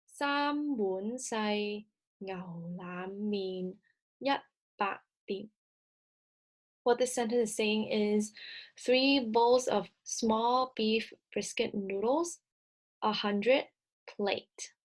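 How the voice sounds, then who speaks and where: A woman whose first language is Cantonese, presenting a recorded slide lecture in a measured, explanatory way with frequent filler sounds. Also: medium pitch.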